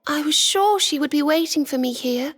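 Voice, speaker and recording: Serena, a woman voicing a soft high gentle healer. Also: normal speed, natural voice. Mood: eager. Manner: soft, courteous, slightly old-fashioned phrasing. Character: gentle young female healer, kind and polite. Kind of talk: video game cutscene dialogue. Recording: game score playing underneath.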